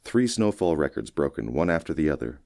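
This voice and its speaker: A man reading a first-person short story as an audiobook narrator, in a measured, folksy storytelling manner. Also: rumbling low, big pitch swings, creaky, a little mellow, no room sound, clean studio sound.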